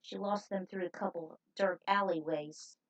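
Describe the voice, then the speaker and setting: A woman's voice: on the low side, steady tone, normal speed. A woman casually narrating fan fiction aloud as a host, in an informal, chatty way, on a muffled recording. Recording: dull lo-fi recording.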